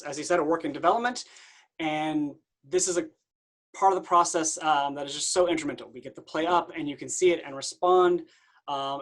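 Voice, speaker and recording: A theatre group's presenter giving an informal, unscripted welcome with hesitant phrasing. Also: mid-range voice.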